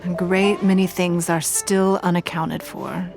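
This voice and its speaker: Poised, wealthy schemer for a video game: fairly low, formal, unhurried elegance. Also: female voice, gravelly, music in the background. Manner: measured, refined, formal.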